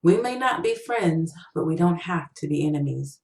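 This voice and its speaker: A woman reads a weary, superstitious man who has served in the military. He speaks gravely and firmly, with reproach, as a story character. The recording has a clear room echo. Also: on the low side, lively intonation, moderate pace, a little mellow. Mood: resolute. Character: Danny, solemn, weary man, superstitious ex-serviceman. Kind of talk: short-story audiobook character voice.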